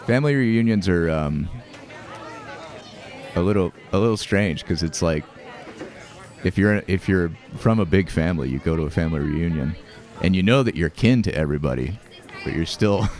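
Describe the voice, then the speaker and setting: A man hosting a show, talking casually and reflectively over home movies, with fillers, restarts and soft laughs. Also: low-pitched, animated, moderate pace. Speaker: host.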